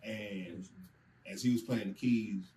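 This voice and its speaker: Casting: lower-pitched man, rapper and songwriter from Michigan, relaxed interview storyteller, faint background hiss. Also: slow pace, creaky. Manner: relaxed storyteller, tells anecdotes with acted-out quotes. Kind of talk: casual interview chat.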